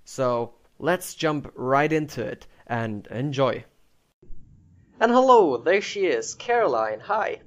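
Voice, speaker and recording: A man hosts an informal interview podcast. His voice sits at a medium pitch, and he addresses listeners and guest in an upbeat, conversational way. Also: lively intonation, slow, lo-fi sound.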